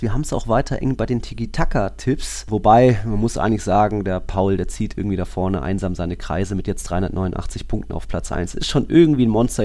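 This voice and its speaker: A man's voice: on the low side, dark tone. A man co-hosting a casual football podcast, colloquial and musing, thinking aloud in short asides. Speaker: podcast co-host.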